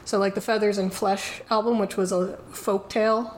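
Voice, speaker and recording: A woman co-hosting a relaxed podcast, giving her opinions on a band. She rambles along with many "like" fillers and restarts in a middle-pitched voice, over a faint hiss. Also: normal speed. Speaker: podcast co-host. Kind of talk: casual chat reviewing music.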